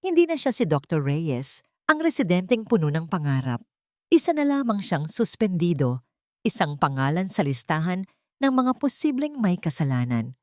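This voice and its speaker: Woman narrator with even, measured pitch. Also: no room sound, clean studio sound. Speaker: audio drama narrator. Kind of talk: dramatic scripted story narration.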